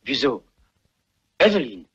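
An actor playing a hesitant, defensive brother in a German radio murder mystery. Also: male voice, medium pitch, big pitch swings, fairly bright tone, husky, full character voice. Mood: musing. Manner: halting, defensive. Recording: muffled, dull radio play recording.

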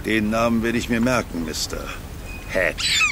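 A man as a low-voiced pompous police detective. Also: measured pace, natural voice. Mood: smug, officious. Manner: curt, officious, self-important. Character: pompous, self-assured male police detective sergeant. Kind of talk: radio play acting. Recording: noisy waterside sound effects behind.

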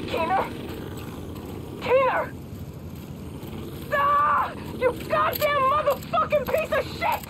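A woman's voice: very high-pitched, expressive, slow, pauses often, heavy background noise, heavily acted. An actress playing a ruthless, aggressive female mercenary squad leader in a video game, barking foul-mouthed orders. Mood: enraged, defiant. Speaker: enemy squad commander. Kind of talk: dramatic video game acting.

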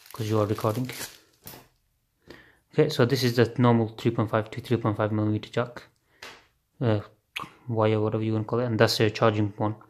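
A man chats his way through an unboxing in a flat, offhand voice, dropping the odd swear word as he thinks aloud. He is recorded on a phone held above a table at home late at night. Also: lowish voice, slight background noise. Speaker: unboxing video host. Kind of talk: casual unboxing chat. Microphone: phone camera filming hands on a table.

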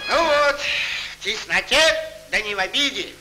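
An actor playing a plucky, cunning fairy-tale soldier with hearty, wily, folksy speech, in 1940s Soviet cartoon style. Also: male voice, high-pitched, expressive, measured pace, rough recording. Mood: bold, commanding. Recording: old 1940s film soundtrack, music behind.

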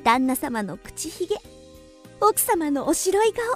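High-voiced plucky young country maid. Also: female voice, big pitch swings, unhurried, faint music behind. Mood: delighted. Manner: polite, confident, girlish. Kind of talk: radio drama acting.

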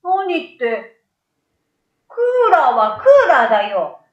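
A woman's voice: high voice, very animated, takes it slowly, roomy sound, muffled recording, full character voice. A woman voicing a wide-eyed, friendly young boy in a storybook, with childlike casual speech. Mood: friendly, explaining. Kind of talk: storybook read-aloud.

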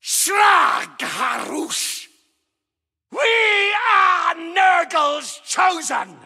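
A man's voice: extremely high pitch, vocal fry, pushed and bright, exaggerated voice. An actor voicing a fanatical, rot-worshipping plague-cult gunman in a video game, bellowing menacing, zealous battle barks. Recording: long echoing reverb.

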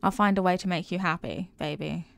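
A woman's voice: lowish voice, fairly even intonation, a little fast, creaky, fairly bright tone. A woman playing a doting, intensely possessive girlfriend in an intimate ASMR roleplay, cooing tenderly with pet names. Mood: caring, earnest.

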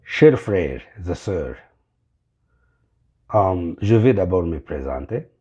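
Very deep, halting pastor. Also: male voice, big pitch swings, warm tone, breathy edge, lo-fi sound. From Congo. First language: Kinyamulenge. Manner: halting, searching for words. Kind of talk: direct-to-camera address. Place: small indoor room.

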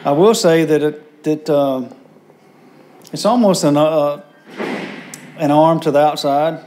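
A man giving informal interview answers as a prison warden, in a halting, folksy way. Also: a little high, a little mellow. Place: office. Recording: faint noise.

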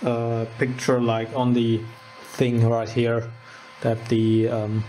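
A man giving a casual, unscripted electronics demonstration, halting and informal as he thinks aloud. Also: medium pitch, monotone, warm tone, slight background noise, rough recording. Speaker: host of a DIY electronics channel. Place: indoors at a wooden workbench.

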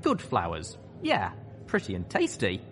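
A man's voice: fairly low pitch, wildly swooping pitch, unhurried, a bit bright, slight background noise. A man reading a scripted first-person story as its narrator. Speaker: narrator and host.

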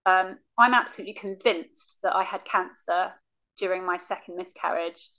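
A woman's voice: lowish voice, steady tone, moderate pace, bright, forceful tone, poor audio. A woman, frankly telling her cancer story on a video call from her living room, with many ums. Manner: frank, many ums, acts out remembered lines. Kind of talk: casual recounting, remote interview. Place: living room, seated on a sofa. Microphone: video call webcam.

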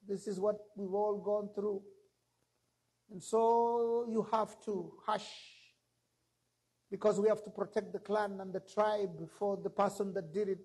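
Middle-aged pastor preaching slow and emphatic, husky voice, handheld mic on a church stage. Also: male voice, high-pitched, level tone, darkish tone, poor audio. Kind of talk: sermon.

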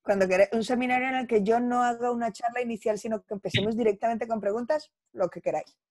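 A woman's voice: a little high, fairly even intonation, quick pace, a little mellow. A woman from Colombia with an accent mixing Colombian and Spanish speech, chatting casually on a video call from home. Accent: mixed Colombian and Spanish. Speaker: invited speaker.

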